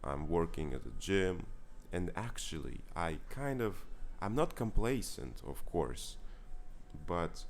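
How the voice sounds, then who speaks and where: Slow, deep-voiced young man with Russian accent. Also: expressive, slight background noise. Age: young adult. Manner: halting, searching for words, frequent self-corrections; earnest and candid. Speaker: vlogger. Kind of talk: casual confessional monologue.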